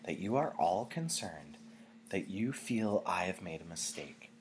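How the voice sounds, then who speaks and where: A man's voice: sits low, big pitch swings, darkish tone, slight background noise. A man voicing a gracious, commanding female chief elder who addresses a crowd formally in an audiobook reading. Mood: gracious, apologetic. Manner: formal, measured, solemn.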